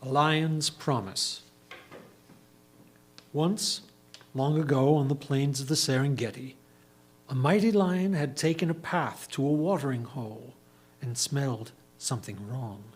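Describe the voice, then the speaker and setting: A man reading a story aloud as a performer, with measured, theatrical emphasis. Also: mid-range voice, husky.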